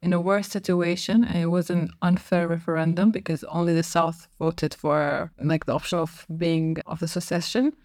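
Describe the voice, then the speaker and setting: A young woman from Sudan, a podcast guest explaining her moves abroad in a thoughtful, unhurried way. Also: lowish voice, level tone, a little mellow, no room sound. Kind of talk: casual interview chat.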